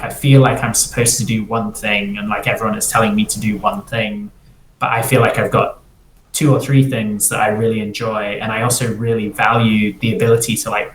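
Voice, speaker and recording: A man who grew up in Singapore, a freelance developer, talking casually in an interview and rambling with many restarts. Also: on the low side. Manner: rambling, restarts often, fills with "like". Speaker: guest, freelance front-end developer. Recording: roomy echo, faint ambient noise.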